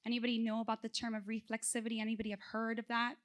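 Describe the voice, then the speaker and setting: A woman's voice: a little high, very fast. A woman from South Florida giving a motivational conference talk, asking the audience questions as she goes. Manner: animated, engages audience with questions. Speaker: conference speaker.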